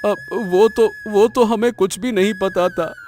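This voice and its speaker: A man acts a desperate village father in a cartoon drama. The voice is high for a man and drawn out slowly, overwrought and full of anguish, with faint music underneath. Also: steady tone, a little mellow. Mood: pleading. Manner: pleading, overwrought, repeats words. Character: desperate, grieving village father of a young boy. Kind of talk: melodramatic Hindi cartoon story acting.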